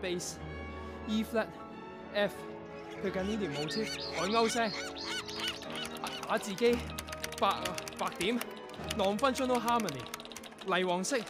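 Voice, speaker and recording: An actor in a Cantonese radio drama playing a tormented young composer, curt and dramatic, with a Hong Kong accent. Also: male voice, high voice, slow pace, pauses often, over loud music. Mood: intense, frantic dictation. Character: tormented young male composer, curt, anguished, losing control of his ears.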